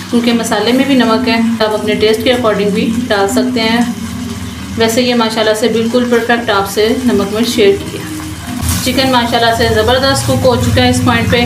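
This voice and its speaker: A woman hosting a home cooking channel, explaining each step in a chatty, instructive way and often adding short blessings. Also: fairly high pitch. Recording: echoey kitchen with frying noise. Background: frying and stirring sounds.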